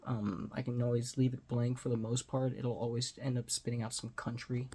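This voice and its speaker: A man's voice: mid-range voice, flat delivery, fairly quick, warm tone, slight background noise. A Texan man in his forties talking casually to a close camera in a small home room, rambling and self-deprecating. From Texas. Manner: rambling, self-deprecating, drops to near-whisper at times. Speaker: vlogger. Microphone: phone or webcam close to face.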